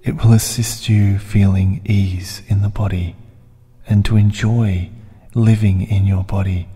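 A man softly narrating a hypnotic guided meditation in a soothing, deliberate way, with breathy phrases and long pauses. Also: on the low side, level tone. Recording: long reverb, music bed underneath. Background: music.

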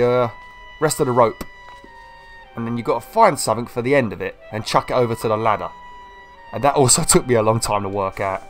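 Young gamer with a cold; chatty, excitable walkthrough talk, mid pitch. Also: male voice. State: slightly ill, sniffly. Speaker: gameplay video host. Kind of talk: casual let's-play commentary.

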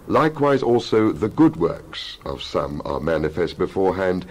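A man with a low, rough-edged voice performs a dramatic reading of scripture. His delivery is solemn and oratorical, with emphatic phrasing and wide swings in pitch. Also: big pitch swings, normal speed, a little mellow. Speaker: Bible reader.

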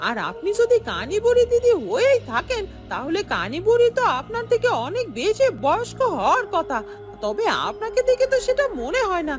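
Shrill, greedy village schemer. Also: female voice. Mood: flattering. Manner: wheedling, excitable, repeats herself. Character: greedy, scheming, flattering village headman's wife. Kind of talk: Bengali fairy-tale cartoon acting.

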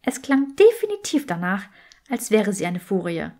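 A woman's voice: mid-range voice, big pitch swings, moderate pace, darkish tone. A woman voicing a clever, earnest young witch with teasing wit in an audiobook, matter-of-fact in manner.

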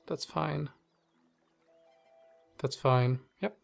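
A man's voice: on the high side, drawn-out words, vocal fry, faint music behind. A man with a stuffy nose and a slightly strained voice, hosting casual strategy game commentary, thinking aloud with many fillers. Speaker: gaming channel host.

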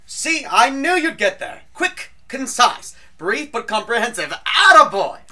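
An actress playing a doting, breezily encouraging parent in an audio drama, coaxing and sugary. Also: female voice, fairly high pitch, very animated, unhurried, sharp tone, heavily acted.

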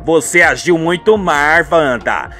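A man's voice: on the high side, expressive, slow pace, pushed and bright, soft background music, full character voice. Man acting a scolding, moralising family man. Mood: reproachful. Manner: moralising, reproachful.

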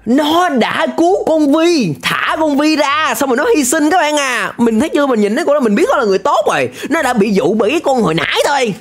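A young adult man giving animated, exclamatory reaction commentary in slangy speech, close on a desk microphone. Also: very high-pitched, fast, few pauses, music in the background. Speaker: reaction video host. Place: small indoor room, webcam setup.

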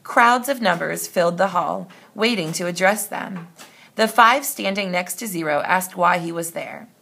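A woman reading a children's story aloud in an expressive narrating style. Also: on the low side, moderate pace, vocal fry, husky. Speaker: storybook reader.

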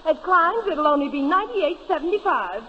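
An actress in a 1930s radio comedy playing a brisk, breezy woman. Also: very high voice, sing-song. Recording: muffled, echoey, noisy 1930s radio recording.

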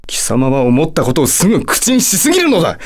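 A man's voice: a little high, huge pitch swings, fairly quick. A man voicing a haughty, hot-tempered, lovestruck demon lord in a video game, with a theatrical, condescending delivery. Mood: indignant. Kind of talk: Japanese video game character voice acting.

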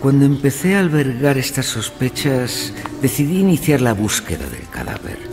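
An animated, clever gentleman witness. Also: mid-range voice, over music. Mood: explaining. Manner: formal, measured storytelling. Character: clever, self-assured gentleman witness explaining his scheme. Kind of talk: audio drama acting, narrating.

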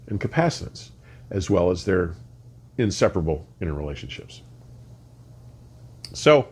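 An older man presenting a scripted technical explanation in measured, deliberate, emphatic phrases with precise wording. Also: fairly low pitch, breathy edge. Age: older, around 60. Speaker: host of an audio explainer series. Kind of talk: scripted educational explanation to camera. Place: furnished home listening room, indoors.

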